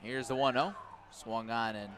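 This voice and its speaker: An American man works as a baseball play-by-play broadcaster on radio. His mid-pitched voice is conversational, switching between clipped calls of the action and storytelling with wry asides. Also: steady tone, measured pace. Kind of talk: live radio sports commentary mixed with storytelling.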